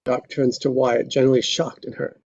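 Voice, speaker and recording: Gravelly man narrating screenplay directions. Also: medium pitch, little pitch movement, moderate pace, darkish tone, muffled recording. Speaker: narrator reading stage directions. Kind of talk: scripted narration at a live table read.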